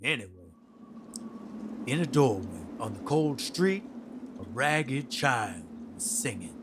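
A man's voice: mid-range voice, big pitch swings, slow pace, background noise. An actor playing a wry, downtrodden working-class man in a radio drama, with a dramatic storytelling manner. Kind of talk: radio drama narration and acting.

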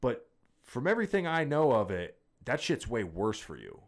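A man's voice: medium pitch, huge pitch swings, moderate pace. A man in his thirties chatting casually in a rambling, informal, sweary way, like a podcast co-host. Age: 30s. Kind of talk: casual chat, profane.

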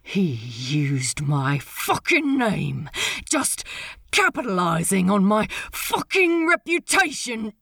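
A woman voicing a gruff, sardonic male pirate captain in an audiobook story, with a low drawl. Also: big pitch swings, takes it slowly, a bit bright, no room sound, clean studio sound, heavily acted. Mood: brooding, indignant. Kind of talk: fan fiction audiobook character voice.

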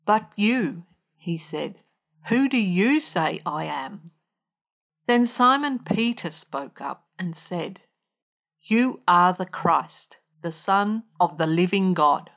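A woman's voice: on the low side, expressive, takes it slowly, fairly bright tone. A woman reading a gospel passage aloud as a scripture reader.

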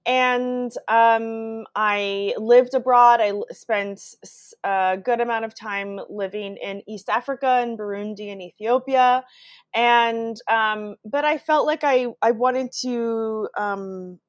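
A woman raised in the US and born in Brazil, a guest answering interview questions thoughtfully, with frequent pauses and restarts. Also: on the high side, fairly even intonation, no echo.

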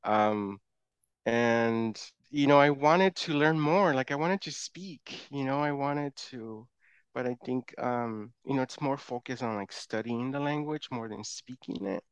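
A man's voice: mid-range voice, measured pace, smooth, dry recording, muffled recording. A man whose first language is Spanish, speaking casually and informally as a guest in a conversational interview. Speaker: podcast guest, language learner.

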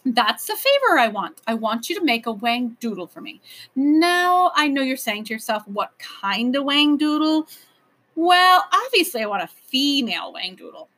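A woman voicing a lonely, excitable, imperious male magical creature king from a storybook, grand and insistent in manner. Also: high voice, moderate pace, pitched above the performer's own voice, full character voice. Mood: eager, regal. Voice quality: lisping.

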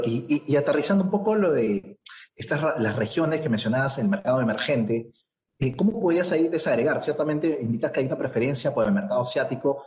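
A male interviewer who talks quickly and starts his questions with repeated words. His voice is mid-pitched and carries a noticeable room echo. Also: darkish tone.

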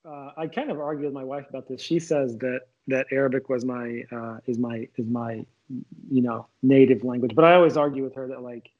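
A man in his late thirties, born in Lebanon, talks through his story as an interview guest. His pitch sits in the middle range, and he rambles, restarting sentences and correcting himself as he goes. The audio is slightly dull and lo-fi. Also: steady tone, brisk. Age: late 30s. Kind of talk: casual conversational interview.

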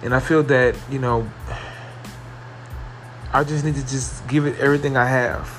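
A man hosting his own podcast, talking earnestly and repetitively about positivity, with a carrying voice that rises into excited emphasis. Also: medium pitch, moderate pace, faint music behind. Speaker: podcast host. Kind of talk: motivational solo podcast monologue.

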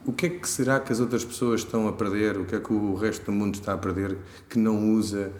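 A man's voice: on the low side, fairly even intonation, fast, darkish tone. A man hosting an interview, asking long winding questions and repeating words as he talks. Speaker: interviewer, host. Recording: echoey room with faint ambient noise.

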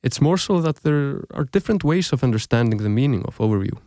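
A man's voice: mid-range voice, lively intonation, fast, dark tone, dry recording. Young Icelandic man, dry close podcast voice. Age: young adult. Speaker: podcast host. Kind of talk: scripted podcast narration.

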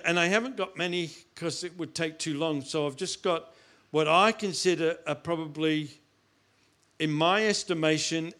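A man's voice: fairly high pitch, fairly bright tone. A middle-aged male pastor giving a conversational sermon, reading scripture and joking at his own expense, with frequent restarts and repeated words.

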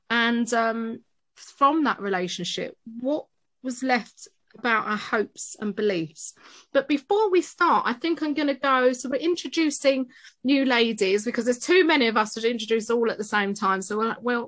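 A woman hosting a podcast discussion. She speaks gently and haltingly, with frequent fillers and restarts as she introduces guests and asks follow-up questions. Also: fairly high pitch. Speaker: host.

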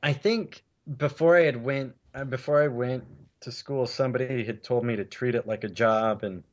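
A man in his forties with a bass voice, chatting and reminiscing with old friends, laughing often and restarting his sentences. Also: normal speed, muffled recording. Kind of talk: casual chat.

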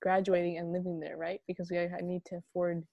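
A young woman around twenty, co-hosting a podcast, chatting casually and quickly with frequent laughs. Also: fairly low pitch, level tone, creaky, no room sound, highs cut off. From United States. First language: Punjabi. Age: 20s. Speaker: podcast co-host. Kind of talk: casual chat.

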